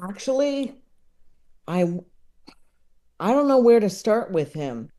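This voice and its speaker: A woman chatting casually as a podcast guest, enthusiastic and digressive, often halting as she works out her thought. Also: mid-range voice, sing-song, mellow. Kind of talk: casual chat about books and comedy.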